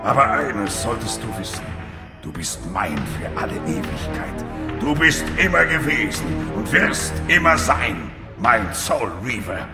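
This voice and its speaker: A man playing an ancient, cruel tentacled god in a video game, solemn, pompous and commanding, with a booming reverb effect. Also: big pitch swings, a little slow. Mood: menacing, commanding. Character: ancient menacing male parasitic god, imperious, tentacled, cruel. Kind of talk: dramatic video game acting. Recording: long cavernous reverb, music underneath.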